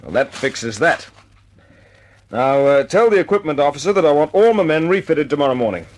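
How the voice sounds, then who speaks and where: Actor as a squadron leader, noisy old recording. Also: male voice, a little high, expressive, a little fast, lightly acted. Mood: angry, forceful. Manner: clipped, authoritative, informal with his men. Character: brisk, commanding wartime RAF squadron leader. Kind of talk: old-time radio war drama acting.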